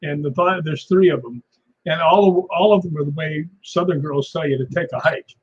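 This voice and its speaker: A man's voice: on the high side, a little fast, highs cut off. A man who is a guest on a live-stream chat, talking in a wry, joking way and laughing often.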